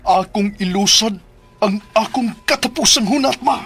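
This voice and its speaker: A man playing an earnest, defiant son in a declamatory Philippine radio drama, with a husky voice. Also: sits high, moderate pace, a bit bright, faint music behind. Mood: defiant resolve. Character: earnest, defiant young son returned to his hometown.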